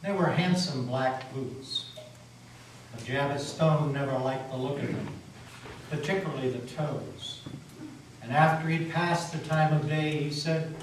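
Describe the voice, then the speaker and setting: A man with a slightly high voice narrates a folk tale as a dramatic reading. He sounds distant in a very echoey hall, with faint noise around him. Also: measured pace. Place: meeting house, live reading.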